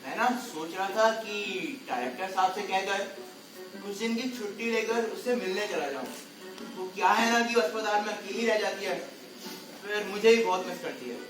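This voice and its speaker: An actor playing a gentle, caring clown on stage, speaking tenderly and haltingly, doting on a young girl. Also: high voice, expressive. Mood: wistful, confiding. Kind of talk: Hindi stage play acting. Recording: echoey hall, distant mic, music behind.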